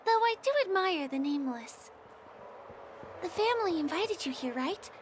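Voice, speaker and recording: An actress playing a gentle, polite, grateful young woman who guides visitors, in an English video game dub. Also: very high voice, lively intonation, normal speed, a little hiss or hum. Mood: earnest, friendly.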